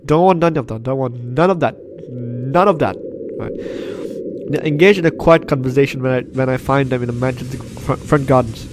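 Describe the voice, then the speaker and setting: A man's voice: mid-range voice, normal speed, noisy background. Jokey male gamer, sick with flu. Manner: jokey, repeats phrases, banters with characters. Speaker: gaming YouTuber. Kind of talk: let's play commentary, reading game text aloud.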